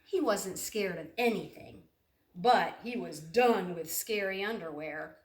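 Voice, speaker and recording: A woman reading a children's picture book aloud in an expressive, dramatic way, putting questions to young listeners. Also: medium pitch, unhurried, distant mic, a little hiss or hum. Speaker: storybook reader. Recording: roomy echo, off the mic.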